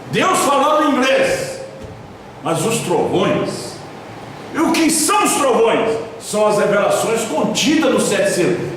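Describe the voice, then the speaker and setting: High, lively preaching man in echoey hall. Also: slight background noise. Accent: southern Brazilian Portuguese. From Brazil. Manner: preaching with sudden loud emphasis and slow drawn-out stresses. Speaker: pastor. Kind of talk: sermon. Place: church hall. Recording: echoey church hall from far off.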